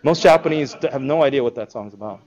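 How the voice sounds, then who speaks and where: A man giving an informal talk to a live audience, conversational and animated, acting out quoted reactions. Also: medium pitch, fast, highs cut off. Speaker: speaker presenting a school project.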